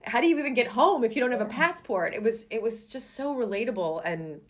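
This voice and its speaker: A woman's voice: a little high, animated, brisk. A woman hosting a podcast, talking to her guest in an enthusiastic, gushing way with lots of "you know" and "like". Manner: enthusiastic, gushing, many fillers. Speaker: podcast host. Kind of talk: casual interview chat.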